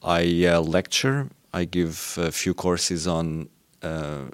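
Man, professor, deep voice, precise, academic, dry sound. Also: flat delivery, slow, clear, resonant tone, no room sound. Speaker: associate professor, guest. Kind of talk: podcast guest interview.

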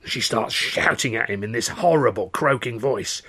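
A man voicing an excitable working-class storyteller spinning a yarn, with a British accent and a colloquial, dramatic delivery. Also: mid-range voice, normal speed, breathy edge. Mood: astonished.

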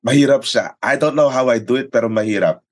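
A man's voice: medium pitch, fast. A man with a Filipino accent chatting casually and explaining things emphatically, as a sports announcer being interviewed. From Philippines. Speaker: sports announcer and radio commentator, interview guest. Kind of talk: casual podcast chat, code-switching.

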